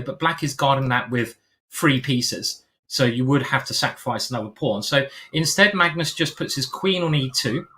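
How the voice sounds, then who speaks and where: A man's voice: mid-range voice, sharp tone. An English man commentating live chess in a playful, chatty way, with many fillers and restarts.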